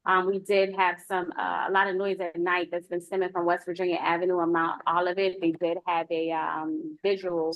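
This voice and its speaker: A woman's voice: on the low side, little pitch movement, moderate pace, few pauses, no room sound, muffled recording. A woman from the United States, a police lieutenant, giving an informal spoken report at a public meeting.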